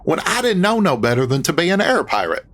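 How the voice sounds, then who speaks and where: Dopey ex-pirate pilot, folksy, lively pitch, noise behind. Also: male voice. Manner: folksy, slow-witted drawl. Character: dim-witted reformed male air pirate pilot.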